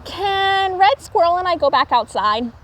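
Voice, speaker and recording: Little storybook raccoon boy, woman performer, high and lilting, childlike, stubborn, earnest. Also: animated, a little slow.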